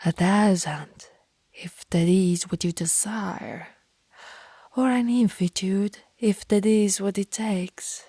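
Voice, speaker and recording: A woman's voice: mid-range voice, warm tone, no room sound, clean studio sound. A woman voicing a lovestruck female vampire in a whispered ASMR roleplay, courtly and formal, menacing yet apologetic. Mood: pleading, devoted. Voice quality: breathy, largely whispered. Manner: slow, formal, old-fashioned romantic phrasing.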